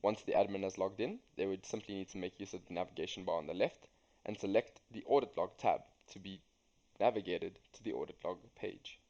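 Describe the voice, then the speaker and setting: A young man reading a scripted software tutorial as a student demonstrator. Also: sits low, a little fast, lo-fi sound. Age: young adult.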